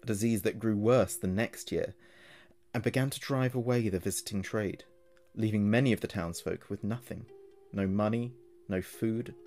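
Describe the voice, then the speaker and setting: A man narrating a scripted horror story in a measured, deliberate storytelling manner. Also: lowish voice, darkish tone, soft background music.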